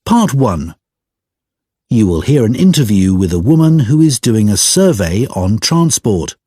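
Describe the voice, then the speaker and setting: A man announces test instructions in a formal, measured manner. His pitch moves in a lively way and his voice has some huskiness. The recording is dry, with little room sound. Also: slightly rough, dry recording. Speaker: exam narrator. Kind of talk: scripted instructions for a listening test.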